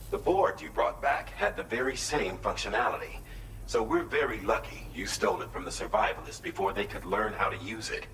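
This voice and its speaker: A man voicing a measured, formal middle-aged official giving articulate briefings in a video game. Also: on the low side, bright, forceful tone, roomy sound, a little hiss or hum, low-quality recording.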